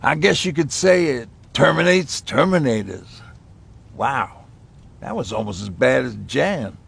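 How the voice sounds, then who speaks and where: Easygoing mechanic dude in a war game, husky and full of gravel, casual and a bit wry. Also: male voice, mid-range voice, expressive, unhurried, a bit bright, exaggerated voice. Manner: casual, folksy. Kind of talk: video game dramatic acting.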